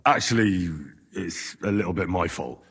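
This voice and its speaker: An actor playing an earnest, questioning young man in service to medieval lords in a video game cutscene, with a husky voice. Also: deep voice, moderate pace, fairly bright tone, heavily acted. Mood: contrite, indignant. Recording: long hall reverb.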